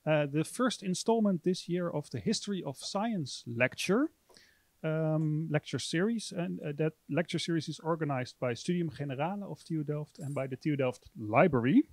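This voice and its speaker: A man's voice: fairly high pitch, moderate pace, no room sound. A man in his thirties hosting a university lecture, speaking hesitantly with many fillers into a handheld mic in a library. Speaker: host. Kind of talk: introducing a public lecture.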